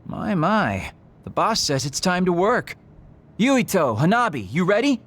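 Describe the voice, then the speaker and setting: Joking young anime game soldier, breezy, highish voice, very swoopy intonation. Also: male voice, very animated, a little slow, a bit bright, full character voice. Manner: playful, breezy. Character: flippant, teasing young male soldier, easygoing joker. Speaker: voice actor in a video game. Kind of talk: dubbed anime-style game acting.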